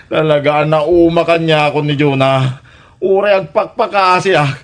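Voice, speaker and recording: A man's voice: on the high side, steady tone, normal speed, lightly acted. A man with an Ilocano Filipino accent playing a sheepish, pleading young womanizer in a radio comedy drama. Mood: dejected, regretful. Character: sheepish, pleading womanizer dumped by his girlfriend.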